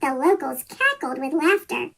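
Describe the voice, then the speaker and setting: A children's story reader with a pitch-shifted chipmunk voice, reading a picture book aloud from a script. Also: very animated, moderate pace, creaky, fairly bright tone. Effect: sped-up chipmunk pitch shift.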